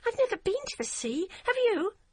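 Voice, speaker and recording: A woman's voice: extremely high pitch, big pitch swings, normal speed, darkish tone, no echo, pitched above the performer's own voice. A woman voicing an earnest, anxious eight-year-old girl who talks in an eager rush. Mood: excited. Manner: eager, breathless rush of words.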